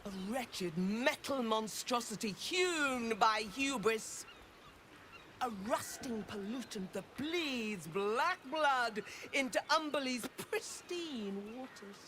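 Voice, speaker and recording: A woman's voice: a little high, lively intonation, slow pace, a bit bright, a little hiss or hum. A woman playing a stern, zealous priestess of a sea goddess in a fantasy game, preaching in a solemn, florid manner. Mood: grave zeal. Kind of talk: dramatic fantasy game acting.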